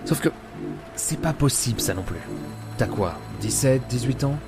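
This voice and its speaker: An actor playing a gruff, weary but principled adventurer in an audio drama, grumbling and muttering to himself. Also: male voice, mid-range voice, lively intonation, moderate pace, over music. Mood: calm, firm. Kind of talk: audio drama acting with inner monologue.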